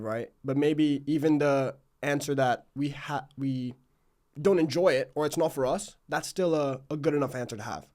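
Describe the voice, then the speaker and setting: A man's voice: a little high, darkish tone. A young man hosting a casual podcast interview, talking informally and reflectively with plenty of "like". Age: young adult. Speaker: podcast host.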